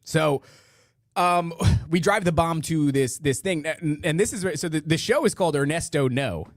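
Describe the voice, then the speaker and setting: A man with a rough voice hosting a podcast, chatting casually in rambling, slangy, profane talk to a live audience online. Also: on the high side, moderate pace, no echo, studio quality. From northeastern United States. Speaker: podcast host. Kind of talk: casual live chat, reading listener comments.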